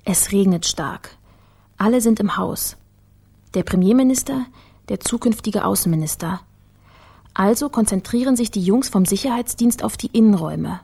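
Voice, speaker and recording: Radio play casting: sharp-witted woman investigator, terse and wry, husky dark tone. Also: mid-range voice, normal speed. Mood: reasoning aloud. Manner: terse, wry, thinking aloud. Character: shrewd, dry female investigative partner. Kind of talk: radio drama dialogue.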